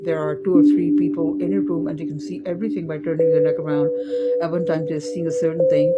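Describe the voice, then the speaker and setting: A woman, giving a reflective spoken talk in a thoughtful, unhurried way, with frequent fillers and restarts. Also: lowish voice. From Pakistan. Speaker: spiritual teacher giving a video talk series. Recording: muffled lo-fi sound with loud music behind.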